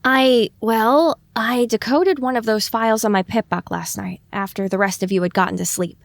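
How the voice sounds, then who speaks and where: A woman's voice: fairly high pitch, moderate pace, creaky. A woman voicing a young, self-conscious little pony filly with wry, exasperated muttering in an audio drama, with echoing reverb. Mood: earnest, tentative.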